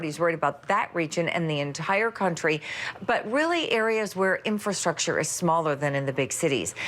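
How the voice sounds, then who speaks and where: A woman news reporter with a fairly low voice speaks in a broadcast delivery. She presents her report as scripted live news. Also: a bit bright.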